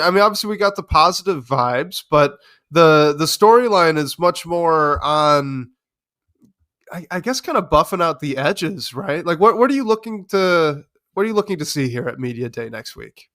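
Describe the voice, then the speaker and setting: Raspy-sounding American man with a cold. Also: fairly high pitch, fairly bright tone, no room sound, clean studio sound. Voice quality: gravelly. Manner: easygoing, rambling, frequent restarts. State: sick, a little hoarse. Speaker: podcast co-host. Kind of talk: casual sports podcast chat.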